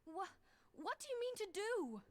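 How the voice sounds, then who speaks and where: An actress playing an earnest young female leader with a soft, sincere way of speaking in a game cutscene. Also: extremely high pitch, sing-song, normal speed. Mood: worried.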